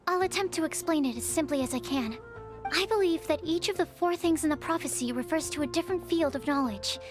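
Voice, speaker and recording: Woman doing a really high pitched shy science girl for a video game, careful n analytical, bit hesitant, music behind. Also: fairly quick, lightly acted. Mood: earnest, polite. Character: shy, studious young female alchemist and scholar.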